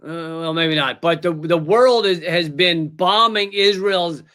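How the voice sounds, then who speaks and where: A man hosting a political comedy show, delivering sarcastic, mocking commentary with shouted bits. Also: on the high side, a little slow, clear, resonant tone. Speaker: host.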